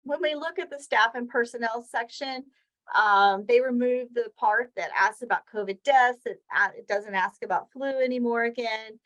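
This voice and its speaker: A woman's voice: on the high side, normal speed, fairly bright tone, rough recording. A woman presenting an educational webinar, explaining slides step by step in a conversational way with examples. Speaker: presenter of a weekly healthcare training session.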